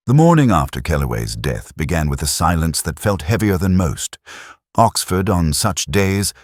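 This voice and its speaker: A man with an exceptionally deep voice narrates a mystery as a weary, reflective middle-aged detective. His reading is literary and brooding, yet moves widely up and down in pitch. The sound is close and dry, with no room around it. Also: dry recording. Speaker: audio drama narrator. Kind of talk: scripted first-person mystery narration.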